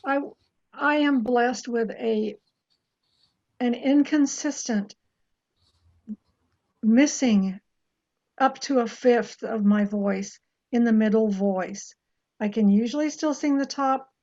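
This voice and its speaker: A woman singer asking a measured question about gaps in her singing voice. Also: fairly high pitch, a little mellow. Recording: muffled lo-fi call audio.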